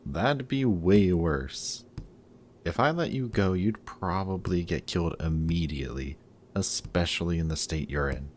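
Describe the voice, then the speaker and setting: A man's voice: deep voice, a little slow, a little mellow, a little hiss or hum. A man playing a guarded supervillain in a roleplay audio drama, sardonic and awkward whenever he shows that he cares. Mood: awkward, consoling. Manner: sardonic, hesitant when showing care. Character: gruff, guarded male supervillain, secretly caring, sardonic.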